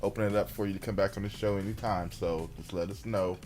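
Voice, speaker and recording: A man's voice: low voice, fairly even intonation, quick pace, a little mellow, soft background music. A man hosting an internet radio talk show, thanking his guest in an easygoing, affirming way. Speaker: host.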